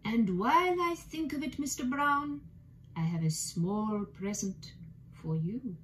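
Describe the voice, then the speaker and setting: Woman playing a formal, kindly older gentleman. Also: on the high side, lively intonation, low-quality recording. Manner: formal, measured, courteous. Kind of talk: children's storybook reading.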